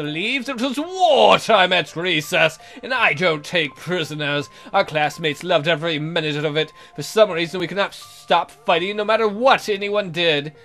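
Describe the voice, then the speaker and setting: A man's voice: a little high, sharp tone. A man hosting a let's play, reading a visual novel aloud in a jokey, theatrical, exaggerated way with comic asides.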